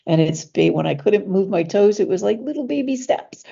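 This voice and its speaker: A woman coach talking casually as an interview guest, sounding stuffy from allergies, with laughs and fillers as she slows down to stress words. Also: medium pitch, lively intonation, vocal fry, dark tone, muffled recording.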